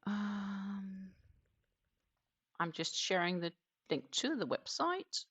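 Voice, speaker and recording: A woman's voice: mid-range voice, sing-song, takes it slowly, a bit bright, slightly rough. A Danish woman who speaks English as a second language, hosting a casual crochet livestream and musing aloud with fillers and restarts. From Denmark. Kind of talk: casual chat while crocheting.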